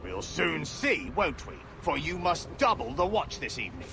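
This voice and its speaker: An actor playing a pompous, haughty merchant's agent in a video game, scolding in florid phrases. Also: male voice, on the high side, wildly swooping pitch, normal speed, background noise. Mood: indignant.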